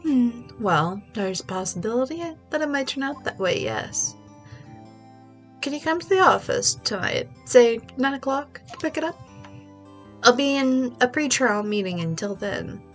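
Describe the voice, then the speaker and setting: A woman with a stuffy voice voicing a calm, measured older sister who is a lawyer, gently teasing in a video game read-along. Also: fairly high pitch, faint music behind.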